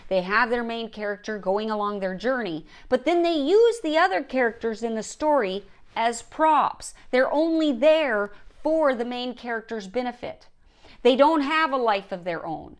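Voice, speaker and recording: A woman giving an instructional talk as a writing coach and host, explaining emphatically and posing questions that she then answers herself. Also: medium pitch.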